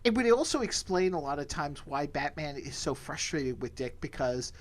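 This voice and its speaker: Animated man, amused and chatty. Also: on the high side, normal speed. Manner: amused, conversational. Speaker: podcast co-host. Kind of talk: casual humorous episode recap.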